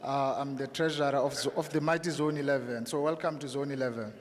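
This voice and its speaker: A South African man making a political speech from the floor of a public debate, haltingly, repeating words and addressing people as comrades. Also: mid-range voice, fairly even intonation. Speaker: audience member, treasurer of a local political branch. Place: large hall at a town hall meeting. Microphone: handheld microphone held for the speaker. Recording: noisy, reverberant hall PA.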